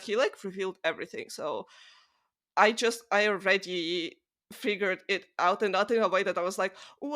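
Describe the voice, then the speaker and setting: A woman's voice: mid-range voice, a bit bright. A woman hosting a podcast, talking casually and with strong opinions in an emphatic, exasperated manner peppered with swearing. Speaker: podcast host. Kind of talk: casual opinionated book discussion chat.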